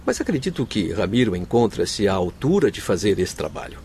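An actor in a radio soap playing a noble, devoted doctor's spirit, speaking with formal, heartfelt solemnity. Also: male voice, lowish voice, very animated, brisk, a little mellow, a little hiss or hum. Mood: grave, kindly. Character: noble grieving Spanish doctor spirit, devoted forgiving husband. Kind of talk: radio soap opera acting.

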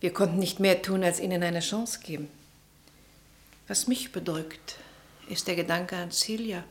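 Composed, lower-voiced, knowing woman. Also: fairly even intonation, measured pace. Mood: composed, pensive. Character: steady, pragmatic woman confidante named Julia. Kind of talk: German radio play acting.

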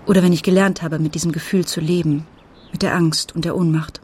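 A woman's voice: fairly low pitch, fairly even intonation, a little fast, darkish tone, breathy edge. A middle-aged woman playing an anxious, tender mother, speaking in a subdued, thoughtful way in a radio drama. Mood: worried, subdued. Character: anxious, tender mother of an ailing teenage girl. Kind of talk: radio drama dialogue and narration.

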